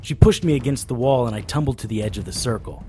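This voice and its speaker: A man's voice: medium pitch, animated, fast talker, warm tone, soft background music, lightly acted. A man playing a cocky, wisecracking supernatural investigator in an audio drama, hard-boiled in manner. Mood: tense storytelling. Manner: flippant, defiant, hard-boiled. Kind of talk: audio drama acting with first-person narration.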